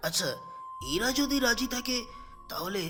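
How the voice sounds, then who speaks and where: A man's voice: extremely high pitch, expressive, normal speed, pushed and bright, exaggerated voice. A man playing an older, accommodating uncle and family elder in an audio story. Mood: considering. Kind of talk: acted audio story dialogue. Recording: prominent background music.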